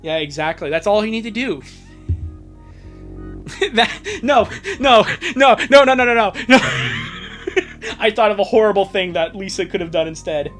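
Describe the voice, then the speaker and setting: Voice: mid-range voice, big pitch swings, unhurried, long pauses, a bit bright, soft background music. A streamer hosting a live fan fiction reading, joking and breaking into laughter between the lines. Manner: jokey, breaks into laughter, exclamations. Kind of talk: livestream dramatic reading with joking asides.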